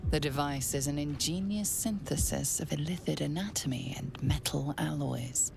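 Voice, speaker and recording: A woman voicing a grave, mysterious fantasy game storyteller with a measured, breathy, gravelly delivery. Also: deep voice, background noise. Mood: hushed, ominous. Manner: slow, measured, portentous. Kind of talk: scripted second-person fantasy game narration.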